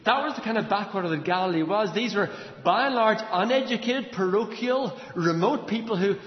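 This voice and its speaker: An Irish man preaches in a large, very reverberant hall. His voice sits high for a man, and he tells the story dramatically, repeating words and posing questions. The sound is slightly dull. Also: animated, brisk. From Ireland. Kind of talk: sermon, expository storytelling from a Bible passage.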